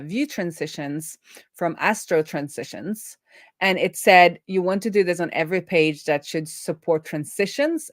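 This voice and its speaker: A woman's voice: low-pitched, no echo, studio quality. A woman explaining programming informally as a host, thinking aloud as she goes. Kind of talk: informal live coding explainer.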